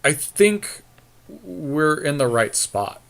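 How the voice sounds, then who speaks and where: A man's voice: fairly high pitch, very animated, slow pace, creaky, fairly bright tone. A man talking casually as a podcast co-host, thinking aloud as he makes choices in a card game. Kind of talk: casual chat while drafting cards in a game.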